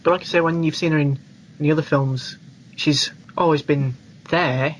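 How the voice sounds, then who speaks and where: Higher-voiced British man, slightly noisy recording. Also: steady tone, moderate pace. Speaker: film podcast co-host. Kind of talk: casual film review chat. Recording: clearly noisy background ambience.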